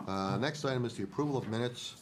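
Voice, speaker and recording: A man's voice: mid-range voice, fast talker. An older American man chairing a village board meeting, switching between procedure and casual remarks, nasal from a head cold. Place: municipal board meeting hall. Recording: noisy public meeting room microphone.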